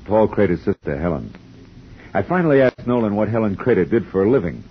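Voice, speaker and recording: An actor playing a world-weary private detective in 1950s radio noir, with a wry, hard-boiled manner. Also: male voice, lowish voice, sing-song, a little fast, slight background noise. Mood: wry, casual. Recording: dull 1950s radio recording.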